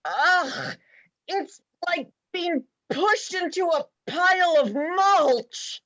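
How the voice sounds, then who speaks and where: Female actor as sarcastic storybook rabbit, mocking and testy, slower delivery with big swings in pitch, play reading. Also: animated, fairly bright tone, lo-fi sound, full character voice. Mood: disgusted. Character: snide, irritable rabbit with biting sarcasm. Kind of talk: dramatized livestream play reading.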